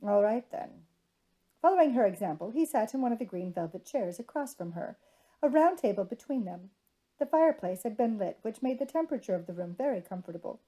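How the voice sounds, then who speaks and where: Lady reading a novel out loud, really mellow dark voice. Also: mid-range voice, rough recording. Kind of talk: scripted storybook narration.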